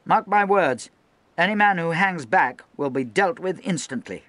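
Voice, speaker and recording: A man voicing a young, anxious naval lieutenant who barks shrill, clipped orders. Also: a little fast, fairly bright tone, no echo. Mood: shrill commanding.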